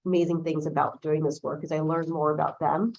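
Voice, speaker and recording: A woman lawyer whose first language is Chinese talks in a low, gravelly voice. She chats freely and keeps asking "right?" as she goes. She is recorded by a camera across a conference-room table. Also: little pitch movement, a little fast, darkish tone, rough recording. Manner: chatty, tags points with "right?". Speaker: lawyer, panel guest. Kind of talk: conversational panel talk.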